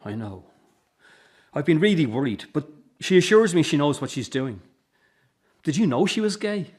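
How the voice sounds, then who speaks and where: A man's voice: a little high, big pitch swings, moderate pace, darkish tone, breathy edge. A middle-aged husband and father in a radio play voiced by a man, worn down and full of regret. He talks in a subdued, resigned way, carrying old hurt. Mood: weary, worried. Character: weary, wounded estranged husband and father, regretful. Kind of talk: radio drama acting.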